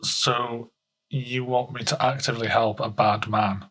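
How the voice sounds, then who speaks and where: A man giving comic advice on a podcast in casual, deadpan banter. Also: mid-range voice, a little slow. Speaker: advice-giver on a comedy podcast. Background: music.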